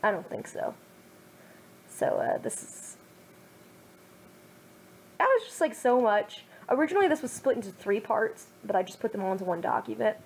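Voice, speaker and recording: A young woman reading her own fan fiction aloud in a fairly high voice. Between passages she drops into wry, self-mocking asides full of little fillers. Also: animated, moderate pace, creaky, long pauses, a little hiss or hum, rough recording.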